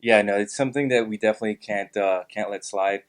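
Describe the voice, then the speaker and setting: A young man from Miami answering interview questions in a measured way, with frequent ums and uhs. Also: fairly low pitch, steady tone. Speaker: guest, political club officer.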